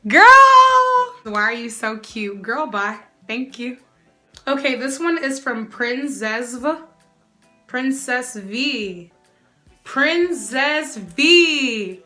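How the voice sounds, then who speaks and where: A sassy young woman chatting straight to camera with a bright, ringing tone. She sits a little high in her range and keeps the banter lively as she answers viewer questions. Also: very slow, soft background music. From United States. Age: young adult. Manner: sassy, animated, often calls the viewer "girl". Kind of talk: casual Q&A vlog.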